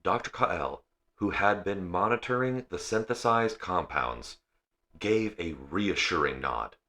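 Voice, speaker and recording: Deep guy reading a sci-fi bedtime story, real slow, bright crisp edge to it. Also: animated. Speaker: audiobook reader. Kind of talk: bedtime story narration of science fiction.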